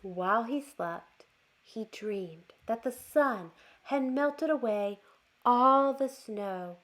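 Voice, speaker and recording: A woman with a fairly high voice reads a picture book to little children. Her delivery is very animated and unhurried, with drawn-out words for emphasis, in the expressive manner of a storytime host. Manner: expressive, drawn-out words, asks listeners questions. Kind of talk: animated read-aloud for young children.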